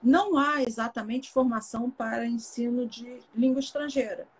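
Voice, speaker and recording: Brazilian teacher lady on a webcam call, explains things carefully, slightly high voice. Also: normal speed, breathy edge. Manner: thoughtful, explanatory, warm farewells. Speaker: teacher and interview guest. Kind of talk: casual live video chat. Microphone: webcam, video call.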